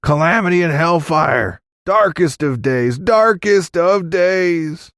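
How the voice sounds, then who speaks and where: A man's voice: on the high side, slow, dry recording, clean studio sound, pitched above the performer's own voice. A man voicing a frantic young cowboy messenger in a story, squawking and breathless, with folksy drawling talk. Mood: panicked shouting. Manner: stammering, folksy Old West talk.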